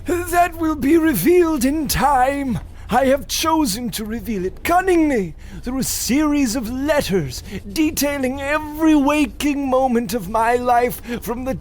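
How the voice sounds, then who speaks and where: A man's voice: very high-pitched, animated, unhurried. A man playing a boastful, self-important scientist in a gothic radio comedy, speaking with melodrama. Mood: grandiose. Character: grandiose, boastful male mad scientist. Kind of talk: parody of old gothic radio drama.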